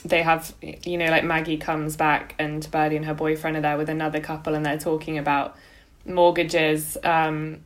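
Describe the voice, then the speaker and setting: Lowish-voiced young woman chatting casually. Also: little pitch movement, normal speed. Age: late twenties. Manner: tentative, conversational, frequently hedging with "like". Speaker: podcast co-host. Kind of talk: casual podcast chat.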